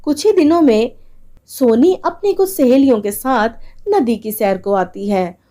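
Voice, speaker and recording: A woman's voice: on the high side, measured pace, warm tone. A woman storyteller reading a scripted fairy tale as narrator.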